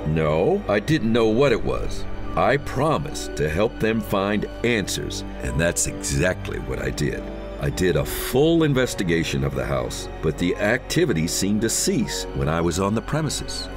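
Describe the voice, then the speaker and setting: A man's voice: low-pitched, very animated, measured pace, over music. A man playing a defensive, uneasy ghost hunter in an audio horror drama, earnest and grave, easily indignant. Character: defensive, solemn, uneasy male ghost hunter with thinning hair. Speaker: character actor in an audio horror story.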